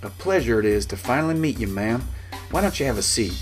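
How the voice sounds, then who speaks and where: A man's voice: medium pitch, lively intonation, fairly quick, soft background music, close to an everyday voice. A man voicing an eager, rambling cowboy who pours on folksy charm in an audiobook. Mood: folksy, polite. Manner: gushing, folksy, run-on politeness.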